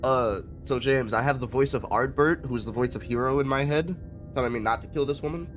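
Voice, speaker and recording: A man's voice: mid-range voice, brisk. A man streaming a game, reacting in a profane, joking way in casual commentary. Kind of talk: casual live gameplay commentary. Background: game music.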